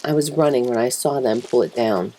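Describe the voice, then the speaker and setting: A woman voicing an anguished, plainspoken mother wolf in a dramatic first-person story reading, grave and emotive, with a husky, breathy voice. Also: very deep voice, brisk, mellow, slight background noise, rough recording. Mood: tense, grim. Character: mother wolf telling of her husband's horrifying change, anguished, plainspoken.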